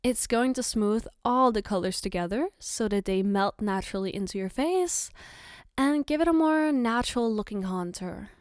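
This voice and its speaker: A woman playing a caring, playful girlfriend in an ASMR roleplay, gently reassuring and patiently explaining as she gives soft personal attention. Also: mid-range voice, moderate pace, clear, resonant tone, no echo, studio quality, close to an everyday voice. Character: caring, playful girlfriend doing her partner's makeup.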